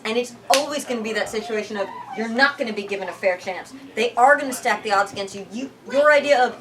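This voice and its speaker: A woman reading a story aloud to a group in an expressive, emphatic way. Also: fairly high pitch, fast talker. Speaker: bookstore lit talk leader reading aloud. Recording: distant microphone, room with audience noise.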